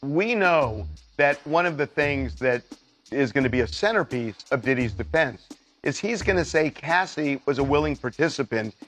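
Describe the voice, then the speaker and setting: Animated man on a news panel. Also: mid-range voice, normal speed, soft background music. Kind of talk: unscripted news discussion.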